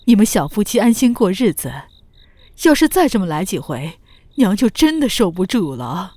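A woman's voice: mid-range voice, sing-song, moderate pace, warm tone, no room sound. A woman playing an affectionate but firm older grandmother and mother-in-law in a Chinese period audio drama, motherly and lightly scolding. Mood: fond, forgiving. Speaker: voice actor in an amateur audio drama.

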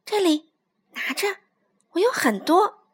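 Woman as an eager high-voiced little boy mouse. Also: big pitch swings, slow, full character voice. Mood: helpful. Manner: polite, eager.

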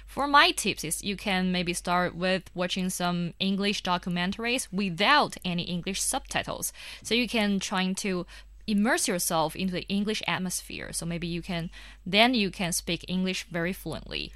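A woman's voice: lowish voice, moderate pace, a bit bright, no echo. A woman from China, a native Chinese speaker, co-hosting a podcast and speaking thoughtfully with non-native phrasing. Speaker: podcast co-host. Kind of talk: casual discussion.

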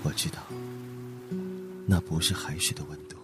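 A man playing a gentle, lonely old blue whale in a radio drama, speaking tenderly and wistfully in a soothing, unhurried voice. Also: fairly even intonation. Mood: quiet, reflective. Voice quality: soothing, tingling low voice. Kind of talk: radio drama inner monologue. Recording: background music under the voice. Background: gentle guitar music.

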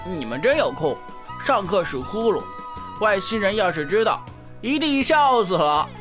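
A woman's voice: mid-range voice, big pitch swings, normal speed, music in the background, lightly acted. A woman voicing a cheeky, defiant eleven-year-old with a sardonic, nonchalant manner in an audio drama. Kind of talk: Mandarin audio drama acting.

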